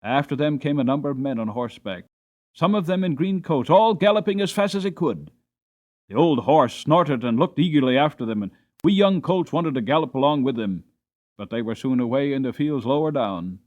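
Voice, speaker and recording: A man narrating a storybook dramatically, with expressive, deliberate phrasing. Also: medium pitch. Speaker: audiobook narrator.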